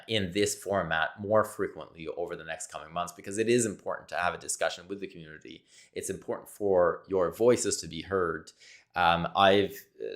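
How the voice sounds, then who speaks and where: A man's voice: low voice, a bit bright. A man from Quebec whose first language is French, speaking English with a Québécois accent in a searching, repetitive solo monologue to camera. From Quebec, Canada. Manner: searching, repetitive, thinks aloud. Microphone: camera mic, talking to camera.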